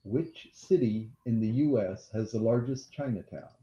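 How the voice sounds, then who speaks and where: A man hosting a casual live-stream quiz game who has a loud voice, repeats each question and apologizes during asides. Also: medium pitch, moderate pace. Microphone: laptop mic. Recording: echoey room, dull lo-fi laptop audio.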